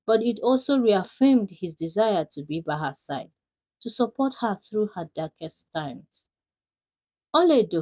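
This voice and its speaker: A woman's voice: on the low side, a little mellow. A woman storyteller reading a scripted folktale narration. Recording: dull, lo-fi sound.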